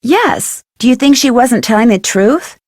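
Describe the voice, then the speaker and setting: Young girl detective in a video game, highish voice, thoughtful n polite, dry studio sound. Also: lively intonation, measured pace, darkish tone, no room sound. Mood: earnest. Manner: earnest, thoughtful.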